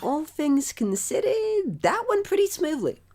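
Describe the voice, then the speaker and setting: A woman's voice: sits high, big pitch swings, measured pace, mellow. A woman voicing a snarky, fussy young male dragon sidekick in a cartoon parody, complaining like a snob.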